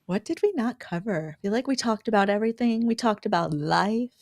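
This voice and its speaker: A woman's voice: medium pitch, animated, fairly quick, no room sound, clean studio sound. A woman content creator chatting informally and conversationally as a livestream guest. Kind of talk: casual livestream chat.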